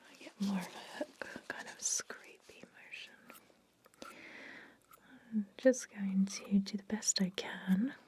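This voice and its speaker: A woman playing a soothing, sly vampire dentist in an ASMR roleplay, speaking gently and deliberately in a breathy whisper. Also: mid-range voice, close to an everyday voice. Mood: soothing, focused. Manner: slow, gentle, deliberate, softly reassuring.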